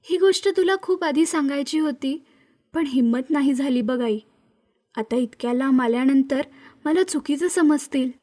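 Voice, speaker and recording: A woman voicing an earnest, frank young widowed mother in an animated story. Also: high voice, moderate pace, natural voice. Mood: hesitant, confiding.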